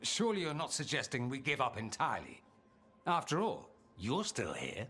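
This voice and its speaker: A man's voice: medium pitch, wildly swooping pitch, moderate pace, pushed and bright, slightly rough, heavily acted. A man playing a suave, witty noble mage and political radical in a video game, wry, eloquent and theatrical. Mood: forceful, insistent. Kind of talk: video game drama acting.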